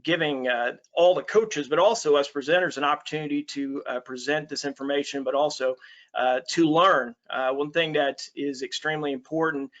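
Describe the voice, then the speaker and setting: A man's voice: fairly high pitch, fairly even intonation, moderate pace, fairly bright tone. A middle-aged man from Alabama giving an online basketball coaching talk, friendly and full of fillers. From Alabama, USA. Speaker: basketball coach presenting a webinar. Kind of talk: online presentation.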